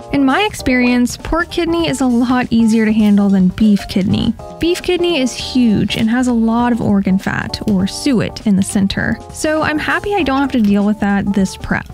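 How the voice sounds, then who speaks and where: Woman, high-pitched voice, scripted tutorial narration, pet channel host, faint music behind. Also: a little mellow. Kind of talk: scripted how-to voice-over narration. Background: background music.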